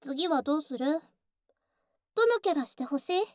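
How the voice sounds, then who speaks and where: 高めの声でからかう、強気な幼馴染の女性が演じるカフェ店員. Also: female voice, high-pitched, expressive, normal speed, dry recording, studio quality. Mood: scolding. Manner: intimate, teasing, often dropping into breathy whispers. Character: teasing young concept-cafe waitress, bossy tsundere childhood friend. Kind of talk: Japanese ASMR situation voice drama.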